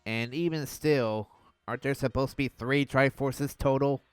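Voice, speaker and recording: A young man reviewing an old cartoon in a sarcastic, mocking voice-over with deadpan jabs. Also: medium pitch, moderate pace, clear, resonant tone, dry recording, faint music behind.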